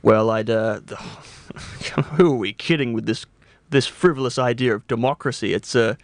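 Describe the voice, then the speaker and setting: Man acting a pompous old fuddy-duddy, deadpan radio comedy, stammering, creaky voice, dry studio sound. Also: medium pitch, dry recording, close to an everyday voice. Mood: deadpan musing. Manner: halting, deadpan, stammering delivery. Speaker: radio show co-host. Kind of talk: deadpan comic radio banter.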